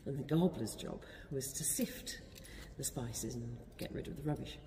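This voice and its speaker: A woman's voice: extremely low pitch, normal speed, mellow. A woman, a church warden, informally explaining things to a visitor in a hesitant way inside a church. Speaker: church warden acting as guide. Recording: reverberant church, clear background noise.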